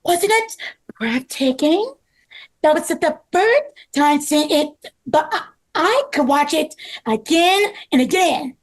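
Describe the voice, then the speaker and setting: A woman's voice: high voice, animated, slow, poor audio. A woman playing a cheerful, organized group leader, upbeat and eager, in a scripted table read. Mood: pleased.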